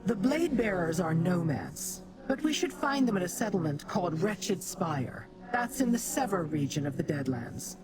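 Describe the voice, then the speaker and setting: A woman voicing a proud female demon warrior in a fantasy game, with a formal, imperious, deliberate manner. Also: on the low side, big pitch swings, music in the background, rough recording. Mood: businesslike, commanding. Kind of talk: fantasy game quest dialogue. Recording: clear background noise, game ambience.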